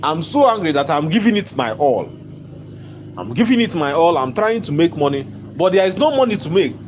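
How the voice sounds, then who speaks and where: Hoarse man ranting in his shop. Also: fairly high pitch, big pitch swings, fairly quick, slight background noise. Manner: repetitive, emphatic, stammering restarts, bursts of laughter. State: hoarse, lost voice. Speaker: shop owner vlogger. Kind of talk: angry ranting vlog. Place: inside his own shop.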